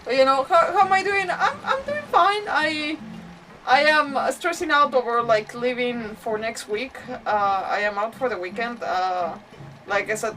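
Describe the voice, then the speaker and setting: Woman, game streamer, fairly high voice, casual rambling commentary, emotive, slightly far from mic, soft background music. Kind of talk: casual live gameplay commentary.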